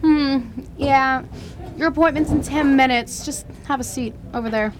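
An actress playing an offhand 1920s office receptionist in a radio crime drama. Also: female voice, high voice, normal speed, background noise, lightly acted.

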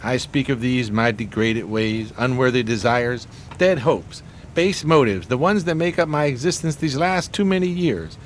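A man's voice: mid-range voice, moderate pace, slight background noise, close to an everyday voice. An actor playing an eloquent, melancholy homeless man of 1920s New York in florid radio-theater style. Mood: brooding, remorseful. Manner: florid, grandiloquent, theatrical phrasing.

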